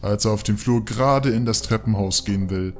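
A man's voice: lowish voice, brisk, darkish tone, soft background music. A man narrating a scripted audio drama as its storyteller.

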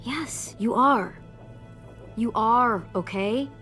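A woman playing a weary, protective teenage girl survivor in a video game, speaking in a gentle, steady, parental way. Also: a little high, extremely slow, faint music behind. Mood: firm, reassuring. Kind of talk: naturalistic video game acting.

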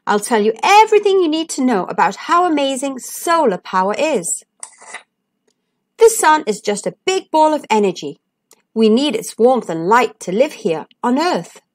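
A cheerful little sunbeam from a children's storybook, played by a woman, high in pitch and swooping widely. He explains things to a child with friendly, emphatic eagerness. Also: sing-song, normal speed. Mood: cheerful, eager. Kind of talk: children's storybook read-aloud.

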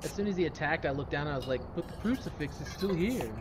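A man's voice: fairly high pitch, animated, quick pace. A man trading casual, informal banter as a co-op gaming partner. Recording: loud background noise, game voice chat.

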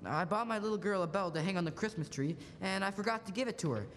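A man's voice: high-pitched, fast, a bit bright, a little hiss or hum. A young man playing a frantic, bewildered family man who stammers, in a live radio play. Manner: agitated, stammering. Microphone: stand microphone on stage. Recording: reverberant school auditorium stage.

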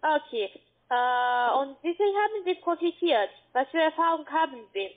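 A woman with a clearly high voice and a foreign accent sells over a tinny phone line. Her phrasing is non-native and halting as she works through her telemarketing pitch. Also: expressive. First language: not German. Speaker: cold-calling telemarketer. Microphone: telephone. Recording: narrow-band phone line.

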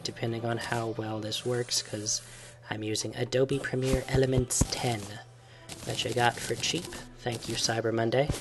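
A man, sick and croaky, casually giving a channel update as a gaming host. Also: medium pitch. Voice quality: creaky. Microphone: desktop microphone.

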